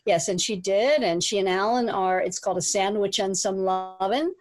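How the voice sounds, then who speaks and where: Measured woman relationship coach, mid-pitched. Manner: measured, hesitant, many fillers. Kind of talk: casual interview answers.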